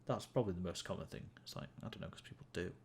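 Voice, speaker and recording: Deep gravelly guy rambling to his stream viewers, lots of ums, bit of hiss behind. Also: very fast, darkish tone. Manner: rambling, self-amused, many fillers and restarts. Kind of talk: casual gameplay chat to viewers.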